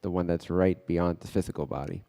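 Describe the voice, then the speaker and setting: A man leading a guided meditation as a teacher, speaking in short, unhurried phrases with pauses between them. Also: sits low, steady tone, creaky, dark tone. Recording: long reverberant echo.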